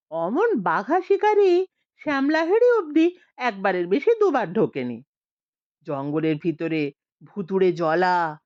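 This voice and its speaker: A woman reads a scripted story with dramatic flair. Her pitch swings widely and she takes her time over each phrase. The recording is dry and a little dull. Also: darkish tone, no echo. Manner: dramatic, unhurried storytelling delivery. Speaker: audio story narrator. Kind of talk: expressive scripted narration of a comic ghost story.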